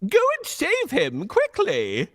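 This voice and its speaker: Man playing a regal, high-voiced storybook king. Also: wildly swooping pitch, takes it slowly, fairly bright tone, heavily acted. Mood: urgent, commanding. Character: plump, food-loving, gracious old-fashioned storybook king.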